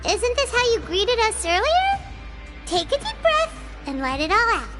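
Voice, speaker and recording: A woman voicing a tiny floating female companion creature who is chatty and excitable, with a high squeaky voice and a habit of speaking of herself in the third person. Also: moderate pace. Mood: teasing. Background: game music.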